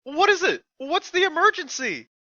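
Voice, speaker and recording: A woman's voice: extremely high pitch, sing-song, normal speed, sharp tone, no room sound, muffled recording, exaggerated voice. A woman voicing an eager young male baby dragon assistant in an exclamatory cartoon style. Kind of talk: cartoon fan-fiction voice acting.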